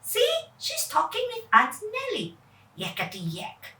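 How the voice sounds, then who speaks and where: Woman as a cheeky, bright-voiced little boy, echoey. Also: very high-pitched, huge pitch swings, unhurried, voice pushed up, exaggerated voice. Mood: cheeky, dismissive. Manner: taunting, carefree. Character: bold, cheeky young boy, a dismissive brother. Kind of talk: acted storybook dialogue. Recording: echoey room, far from mic.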